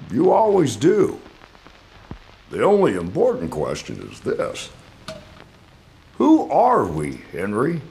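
A man's voice: medium pitch, gravelly, slight background noise, heavily acted, rough recording. A man playing a weary, regretful aging studio boss in a video game monologue, wistful and theatrical. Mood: warm, knowing.

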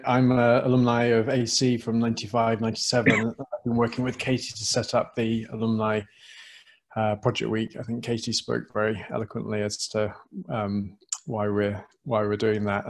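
A man's voice: on the low side, gravelly. A man in his forties introducing himself on a video call, upbeat and a little flustered. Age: 40s. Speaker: alumnus organiser.

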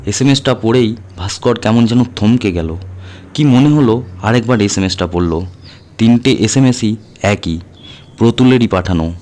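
A man's voice: lowish voice, moderate pace, darkish tone. A man reading a horror audio story as a narrator, in a dramatic scripted style. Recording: music under the voice. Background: background music.

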